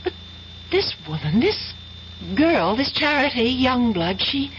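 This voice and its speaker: An actress playing an earnest, haunted young college student in a 1970s-style radio drama, hesitant and breathless with trailing pauses. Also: female voice, high-pitched, sing-song, a little hiss or hum, exaggerated voice. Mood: bewildered, wondering. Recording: muffled old radio broadcast recording.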